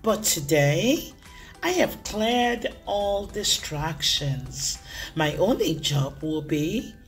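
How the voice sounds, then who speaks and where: A woman voicing an excitable, playful young goat kid in a children's storybook, bubbly and expressive. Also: deep voice, soft background music, exaggerated voice. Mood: determined. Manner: bubbly, expressive, drawn-out emphasis. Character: excitable, playful young goat kid who loves making friends laugh. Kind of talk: first-person children's storybook read-aloud.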